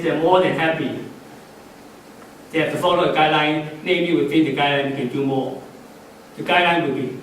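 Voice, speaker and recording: Cantonese-native man, far off in an echoey hall. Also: fairly high pitch. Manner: halting, many fillers and restarts. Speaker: conference speaker. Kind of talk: unscripted closing remarks. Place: conference hall. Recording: echoey hall, far from the mic, faint noise.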